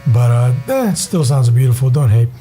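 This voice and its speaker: A hoarse young man with a raspy voice, giving casual, rambling gaming commentary as a channel host. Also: medium pitch, expressive, normal speed, dark tone, slight background noise. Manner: rambling, enthusiastic, many fillers.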